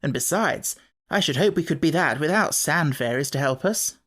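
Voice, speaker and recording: A woman's voice: deep voice, lively intonation, normal speed, a bit bright. A woman voicing an impatient, bossy young boy in a children's storybook.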